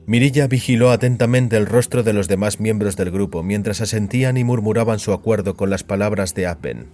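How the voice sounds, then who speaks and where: A man's voice: on the low side, fairly quick, mellow. A man narrating scripted audio fiction as a storyteller.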